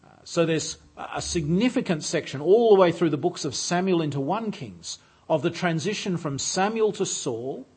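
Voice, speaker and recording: Male preacher, slightly raised pitch, husky tone, measured delivery with filler pauses. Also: expressive, darkish tone. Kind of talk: sermon. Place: church.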